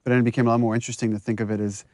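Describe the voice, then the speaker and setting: Man, game director, casual commentary, explanatory, conversational, medium pitch. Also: level tone, racing, darkish tone, dry recording. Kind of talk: casual behind-the-scenes commentary.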